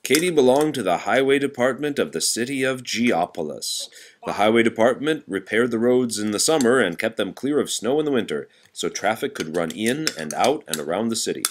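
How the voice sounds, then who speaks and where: A man reads a picture book aloud for a child, expressively and patiently. His intonation is animated and varied, and there is faint noise behind him. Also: medium pitch, a little fast. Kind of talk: storybook read-aloud to a child.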